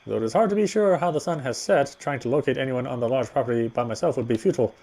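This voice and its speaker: A man's voice: mid-range voice, fast, darkish tone. A man reading a horror visual novel aloud as a dramatic first-person narrator.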